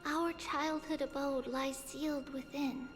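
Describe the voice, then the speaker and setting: A woman voicing a gentle childlike guide in a fantasy game, speaking politely and earnestly like a storyteller. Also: sits high, little pitch movement, a little slow. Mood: solemn recounting. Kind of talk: scripted fantasy game dialogue. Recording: game music behind the voice.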